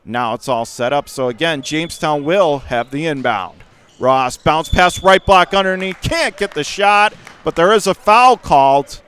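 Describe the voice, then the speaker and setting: Male play-by-play basketball announcer, fairly high and clean-toned voice, punchy phrasing, excitable on big plays. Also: unhurried, clear, resonant tone. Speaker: radio play-by-play sports announcer. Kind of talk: live basketball commentary. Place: indoor school gymnasium during a game.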